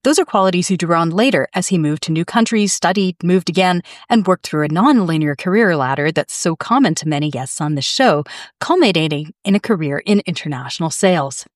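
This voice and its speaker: A woman host introduces her guest in smooth, scripted narration. Her pitch is a little low, but her melody is lively. The recording is close and dry. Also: fairly quick, no room sound. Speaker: podcast host.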